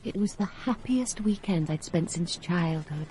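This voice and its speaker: An actress playing a cultured, candid young woman in a British radio drama, speaking and narrating in a wistful, measured way. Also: fairly low pitch, lively intonation, mellow, poor audio. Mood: wistful recollection. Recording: radio drama with clear background noise.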